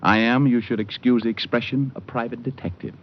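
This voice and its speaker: An actor playing a suave, sardonic private detective, narrating and talking in a noir radio drama. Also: male voice, medium pitch, steady tone, a little fast, a little hiss or hum, natural voice. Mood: wry, sardonic. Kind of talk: first-person noir radio narration and dialogue. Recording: muffled old radio recording with music. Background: music.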